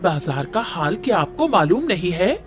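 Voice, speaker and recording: A woman's voice: fairly low pitch, very animated. A woman playing a no-nonsense market customer in a cartoon story, arguing insistently and bargaining hard. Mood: indignant. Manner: brisk, argumentative, insistent. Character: firm, haggling, no-nonsense female market customer. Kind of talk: Hindi cartoon story voice acting. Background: background music.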